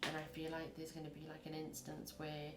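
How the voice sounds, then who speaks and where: A woman with a husky voice, reading tarot in a chatty, rambling way and acting out little scenes as she goes. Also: low voice, completely flat delivery, fairly quick, very noisy. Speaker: tarot reader. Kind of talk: casual tarot reading to camera. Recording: echoey room.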